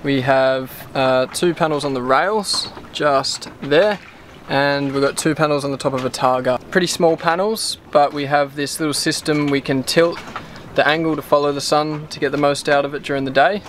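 30s man, slightly high voice, easygoing boat-tour host, faint outdoor noise. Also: moderate pace. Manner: relaxed, explanatory, many fillers. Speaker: sailing vlog host. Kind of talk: casual boat tour walkthrough. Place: outdoors on a sailboat deck.

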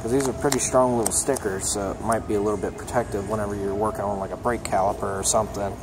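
A young mechanic casually showing his toolbox, talking in a relaxed, plainspoken way with plenty of ums and uhs. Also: fairly low pitch, fast. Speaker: mechanic giving a toolbox tour. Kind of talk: casual show-and-tell vlog. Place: auto repair shop, indoors. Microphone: phone held in hand, filming first-person. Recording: shop noise with air conditioning hum.

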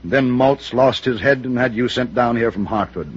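A man plays a vain, pompous comedy star in a vintage radio play. His voice is husky, his manner haughty and mock-polite. The recording is dull and aged. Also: mid-range voice, normal speed, no room sound, close to an everyday voice. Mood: dismissive. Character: pompous, arrogant male comedy star boss. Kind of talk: 1950s radio drama acting.

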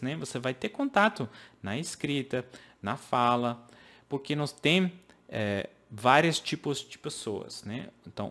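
Foreign-accented man teaching to webcam. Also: mid-range voice. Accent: English-speaking foreigner speaking Portuguese. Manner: earnest, explaining with hand gestures, makes grammar slips. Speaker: English teacher. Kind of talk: casual video lesson to camera. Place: home office with shelves.

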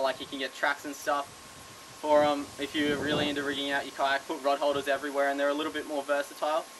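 A man's voice: a little high, a little hiss or hum, poor audio. Chatty young Australian man on a veranda. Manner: relaxed and chatty, with fillers and stray restarts. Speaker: kayak fishing vlogger. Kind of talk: casual to-camera talk. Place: under a covered veranda outdoors.

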